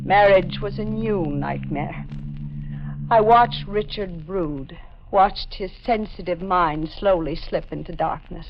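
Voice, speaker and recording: Tormented, haunted wife, muffled recording. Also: female voice, fairly low pitch, slow pace, slight background noise. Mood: haunted, somber. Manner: dramatic, intense, clipped phrases. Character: tormented, haunted wife telling her dark story. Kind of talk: 1940s radio drama acting and narration. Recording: muffled vintage 1940s radio recording.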